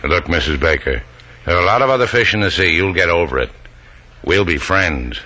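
A man playing a gruff, wisecracking tough bachelor in an old radio drama, wry and brusque. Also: low voice, expressive, brisk, sharp tone, exaggerated voice. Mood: awkward, apologetic. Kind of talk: 1940s-50s radio drama acting.

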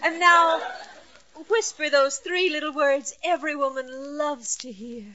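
High-voiced, amorous radio sweetheart. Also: female voice, steady tone, a little slow, sharp tone. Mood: seductive. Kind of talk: 1940s radio sitcom acting. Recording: dull lo-fi vintage radio recording.

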